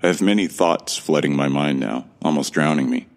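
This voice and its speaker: A man's voice: extremely low pitch, moderate pace. A man narrating an audio drama in the first person, earnest and bewildered. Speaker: narrator and lead actor.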